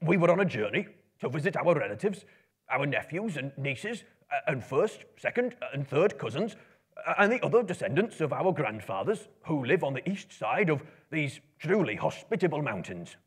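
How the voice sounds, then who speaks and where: A man performs a proud dwarf leader from a storybook. The voice is husky and raised, with a lively rise and fall, stiffly polite and declamatory. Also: fairly high pitch, moderate pace, voice pushed up. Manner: formal, declamatory, evasive courtesy.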